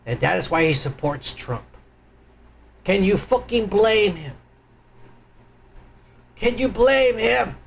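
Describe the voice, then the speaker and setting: A man's voice: sits high, lively intonation, slow, vocal fry, long pauses. A man hosting a live stream, ranting crudely and angrily in profane political commentary.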